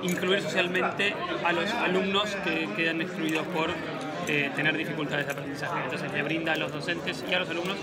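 A man in his twenties with an Argentine accent speaks calmly and clearly. His voice runs high for a man. Loud crowd chatter fills the indoor hall around him. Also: a little fast. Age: 20s. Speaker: hackathon participant. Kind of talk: casual interview answers.

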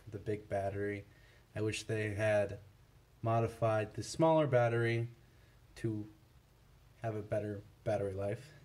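A man giving a casual, unscripted product comparison in a halting, matter-of-fact way. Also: on the low side, darkish tone. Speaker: product reviewer for a scanner seller.

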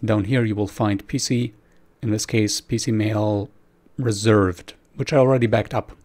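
A man's voice: on the low side, steady tone, normal speed, a little mellow. A man presenting a screen-recorded tutorial, explaining each step informally.

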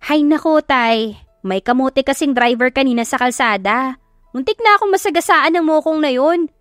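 A woman's voice: sits high, normal speed, no echo, studio quality, soft background music, pitched above the performer's own voice. Woman acting a sassy, feisty young working woman. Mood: exasperated. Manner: sassy, grumbling, expressive. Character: feisty young working woman, bossy loving eldest sister. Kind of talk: audio drama character voice.

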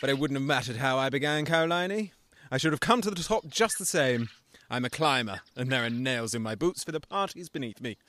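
An actor playing a cocky, boastful husband. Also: male voice, mid-range voice, lively intonation, brisk, fairly bright tone, close to an everyday voice. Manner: boastful, colloquial, chuckling swagger. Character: boastful, brash self-made husband, vulgar and cocky. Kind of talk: radio play acting.

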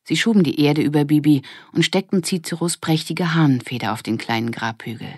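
A woman's voice: low voice, a little fast, a little mellow, breathy edge, no room sound, studio quality. A woman narrating a children's audiobook in a scripted storytelling style.

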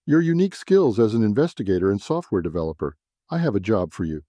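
A man's voice: on the low side, sing-song, fast talker, mellow, no echo. A man voicing a composed, businesslike woman executive in an audio science fiction story. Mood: confident. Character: sharply dressed, composed professional woman, tech executive.

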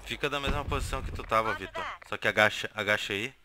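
A man's voice: fairly low pitch, quick pace. A man with a Brazilian Portuguese accent making casual live callouts to his team as a gaming streamer. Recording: noisy game audio with clicks.

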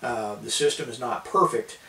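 An American man in his thirties giving an informal explainer to camera, with a halting delivery full of pauses. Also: medium pitch, bright, forceful tone. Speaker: preparedness video host. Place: small indoor room at a table. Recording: roomy, distant mic, hissy background.

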